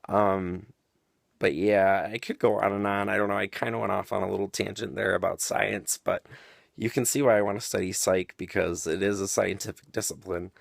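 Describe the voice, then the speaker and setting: A young man with a deep voice talking casually to camera, explaining his views at length with frequent ums and side tangents. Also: level tone, normal speed, vocal fry, no echo, studio quality. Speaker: vlogger.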